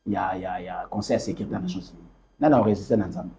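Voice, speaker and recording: A man's voice: sits low, fairly even intonation, racing, creaky, dark tone, a little hiss or hum. A man from Congo with a Congolese French accent, a party leader answering interview questions in an emphatic, repetitive, preaching way, quoting scripture. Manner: emphatic and repetitive, quotes scripture and mixes in Lingala. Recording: dull lo-fi recording.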